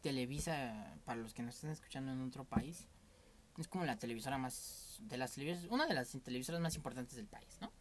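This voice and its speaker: A man's voice: medium pitch, expressive, brisk, slight background noise. A young Mexican man with a Mexican accent, telling candid stories in a casual, rambling podcast chat as its host.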